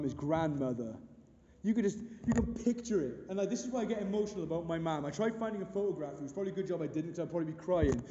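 A pastor in his thirties with a big booming voice, preaching an earnest, emphatic sermon full of rhetorical questions. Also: dark tone. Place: church hall. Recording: dull echoey hall recording.

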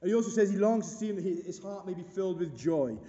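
A man in his thirties preaching with a big, booming voice that sits high yet sounds warm and mellow. He drives his points home earnestly, asking the room question after question. The sound is dull and muffled, with a long reverb trailing off the walls of a church hall. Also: expressive, fast, slight background noise. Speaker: pastor. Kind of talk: sermon. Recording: dull echoey hall recording.

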